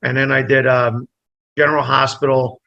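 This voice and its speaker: A man's voice: medium pitch, moderate pace, gravelly, lo-fi sound. A comedian telling a story in a casual interview, acting out the people in it. He grew up speaking Italian first and is from New Jersey. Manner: animated storyteller, acts out dialogue. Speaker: comedian guest.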